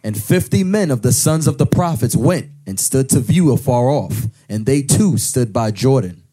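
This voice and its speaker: A man reading scripture aloud at a service in a steady, plain way. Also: medium pitch, moderate pace. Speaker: scripture reader.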